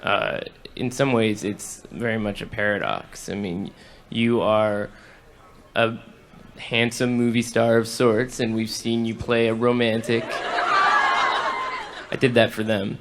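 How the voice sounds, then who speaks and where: A man's voice: fairly low pitch, steady tone, measured pace, long pauses. A man interviewing guests on a panel, asking long, winding questions.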